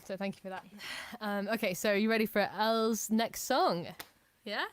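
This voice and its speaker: A woman's voice: mid-range voice, steady tone, normal speed, clean tone, no room sound. A woman with a Scottish American accent hosting a casual livestream with upbeat, encouraging chat. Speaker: host.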